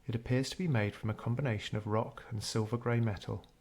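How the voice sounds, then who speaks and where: A man narrating a scripted guided meditation in a measured, unhurried storytelling way. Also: medium pitch, fairly even intonation, darkish tone.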